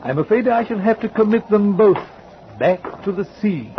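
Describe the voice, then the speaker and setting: A courteous, composed male sea captain in an old radio drama, with a fairly high, lively voice that rises and falls a lot. He speaks formally, pausing now and then. The recording sounds dull, with clear hiss behind him. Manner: formal, courteous, matter-of-fact with small hesitations. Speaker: ship's captain. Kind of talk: 1940s radio acting.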